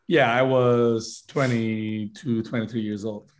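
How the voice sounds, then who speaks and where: A man from Turkey, a founder making a fluent business pitch in a podcast interview, correcting himself on the fly. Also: fairly even intonation, takes it slowly. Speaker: startup founder guest.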